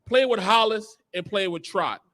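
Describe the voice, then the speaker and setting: A man's voice: high voice, normal speed, sharp tone. A middle-aged American man, a former football player, telling stories on a sports panel in an emphatic, repetitive, slangy way. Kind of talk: animated locker-room storytelling on a sports panel.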